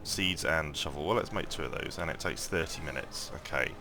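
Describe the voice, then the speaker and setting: Croaky low-voiced man thinking aloud. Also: a little fast, music in the background. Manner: thinks aloud, rambling with many ums and restarts. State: croaky morning voice. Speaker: mobile game let's-play host. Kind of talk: casual gameplay commentary.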